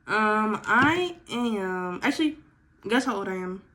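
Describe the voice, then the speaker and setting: A woman's voice: medium pitch, animated, slow pace, bright, forceful tone. A 22-year-old woman talking casually about herself in a get-to-know-me vlog while doing her makeup, with lots of "like" and "girl". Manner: chatty, filler-heavy, says "like" and "girl" a lot. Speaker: vlogger.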